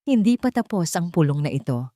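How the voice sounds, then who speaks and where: A woman with a Filipino accent voicing a calm but firm young woman, a dutiful daughter, in an audio drama story. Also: lowish voice, brisk, no room sound, clean studio sound. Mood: firm, defiant.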